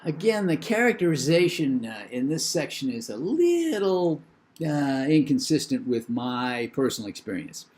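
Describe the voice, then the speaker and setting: An older man with a New England accent giving a recorded lecture in a measured, opinionated way, with thinking pauses and fillers. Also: mid-range voice, very animated, a little mellow.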